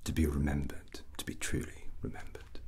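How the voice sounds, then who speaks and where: A man playing a drunken, proud and menacing rogue prince in an ASMR roleplay, whispering slowly and deliberately in ornate language with long pauses. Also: completely flat delivery, a little mellow. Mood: brooding, menacing. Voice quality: low breathy whisper. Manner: slow, deliberate, pausing, ornate wording.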